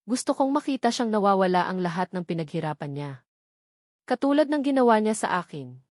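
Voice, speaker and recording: A woman's voice: medium pitch, lively intonation, brisk, darkish tone, no room sound, close to an everyday voice. A vengeful, authoritative woman, acted out by a woman narrator, cold and bitter. She belongs to a romance storybook, recorded close and dry. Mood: angry, vengeful.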